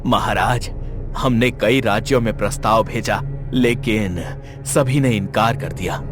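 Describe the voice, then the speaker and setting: A man playing a grave, respectful royal minister, speaking in a measured, deferential way in an animated folk tale. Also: on the low side, over music, lightly acted. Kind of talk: animated moral tale voice acting.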